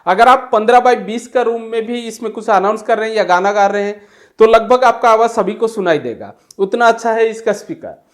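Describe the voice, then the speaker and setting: A man's voice: sits high, brisk. A man giving a product demonstration and review, explaining plainly step by step.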